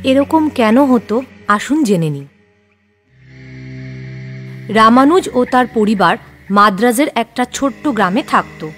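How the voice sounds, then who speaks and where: A woman's voice: fairly high pitch, expressive, takes it slowly, pauses often, soft background music. A woman narrating a scripted horror story.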